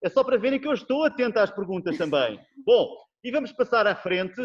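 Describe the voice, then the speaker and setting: A man hosting a web conference, moving quickly between speakers with jokes. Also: high-pitched, expressive, slightly rough. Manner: jokey, quick to hurry speakers along. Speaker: conference host. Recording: muffled web-call audio.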